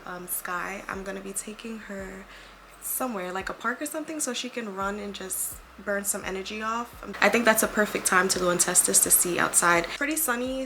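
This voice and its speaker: A young woman with a raspy voice, chatting casually to her camera while vlogging, thinking aloud and poking fun at herself. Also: mid-range voice. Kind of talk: casual vlog talk to camera. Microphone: handheld pocket camera mic. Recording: noisy handheld camera audio.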